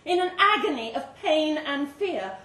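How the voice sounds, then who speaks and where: High-pitched, animated woman reading a story. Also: unhurried. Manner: expressive, theatrical, suspenseful delivery. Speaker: story reader. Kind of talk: dramatic live short-story reading. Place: indoor live literary event venue. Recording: roomy live venue, distant mic, faint noise.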